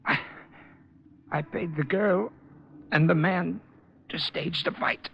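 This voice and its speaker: A man playing a cornered embezzler and killer in a 1940s radio drama, tense and halting. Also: fairly high pitch, vocal fry, a little hiss or hum. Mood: defeated, confessing. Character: cornered embezzler and killer, married male businessman, nervous. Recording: muffled old radio recording, faint music.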